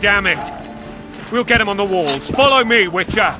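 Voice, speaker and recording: A man's voice: high voice, animated, normal speed. An actor playing a proud, irascible middle-aged king in a fantasy game, imperious and sardonic. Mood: shouting, urgent. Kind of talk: dramatic fantasy game acting. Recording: loud dramatic music behind the voice.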